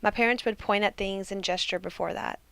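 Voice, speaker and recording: A woman student interpreter voicing a signer's story in calm, measured first-person narration. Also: mid-range voice, gravelly, clean tone, no echo.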